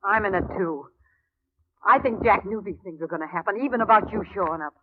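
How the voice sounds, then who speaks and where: A woman's voice: mid-range voice, fairly quick, breathy edge, lo-fi sound, lightly acted. A woman playing an uneasy, earnest young informant, hesitant and confiding in old radio drama style. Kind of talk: 1940s-50s radio drama acting. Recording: thin, noisy old radio recording.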